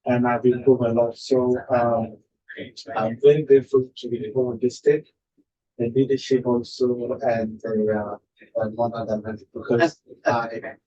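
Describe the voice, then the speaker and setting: A man from South Sudan, testifying slowly to a legislative committee with many pauses and fillers. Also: medium pitch, little pitch movement, dark tone. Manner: slow, halting, many uh fillers. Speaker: adult education student testifying. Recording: dull lo-fi room audio with faint noise.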